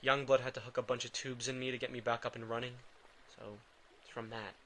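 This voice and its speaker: Brooding male vampire for audio drama, played by a man: forward, bright voice, halting and defensive, clear background noise. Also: medium pitch, flat delivery, close to an everyday voice. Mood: subdued, guilty. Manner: halting, defensive, stammering under pressure. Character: brooding, drained, guilt-ridden reluctant male vampire. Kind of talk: intimate audio drama acting.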